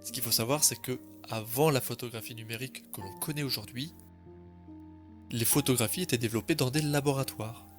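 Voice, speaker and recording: A man's voice: medium pitch, fairly quick, soft background music. A man narrating a scripted story in a composed, literary storytelling manner.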